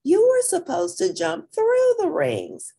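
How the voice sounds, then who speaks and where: A woman's voice: extremely high pitch, wildly swooping pitch, slow pace, gravelly, warm tone, voice pushed up, full character voice. A woman voicing an earnest, encouraging young girl who is loyal to her pet, in a children's story. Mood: dismayed.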